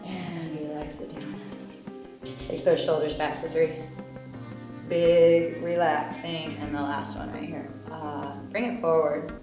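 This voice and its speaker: A woman's voice: low-pitched, level tone, takes it slowly. A woman leading a yoga class, giving stretching cues with chatty, joking asides. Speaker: yoga instructor. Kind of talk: guided yoga class instruction. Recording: echoey room with background music.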